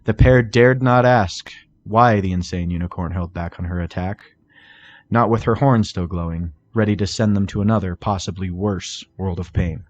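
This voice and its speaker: A man's voice: on the low side, darkish tone, lo-fi sound. A man reading fan fiction aloud as a scripted story narrator. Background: music.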